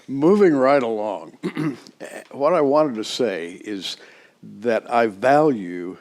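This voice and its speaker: A man hosting a talk show, halting as he sets up his questions. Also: mid-range voice, lively intonation, a little mellow. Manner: halting, with many fillers and restarts.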